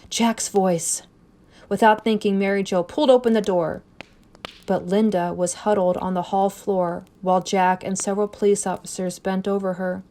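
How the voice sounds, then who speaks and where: A woman reading a scary story aloud as narrator, expressive and suspenseful, with deliberate phrasing. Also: mid-range voice. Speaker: story reader.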